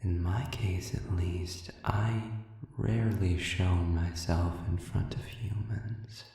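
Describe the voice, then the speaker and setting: A man playing a sadistic, manipulative demon in an audio roleplay, smug and philosophical, with a low gravelly voice and a deliberate, murmuring delivery full of pauses. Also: fairly even intonation, warm tone. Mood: silky, insinuating. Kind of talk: dramatic audio roleplay monologue. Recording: heavily reverberant, distant cavernous sound.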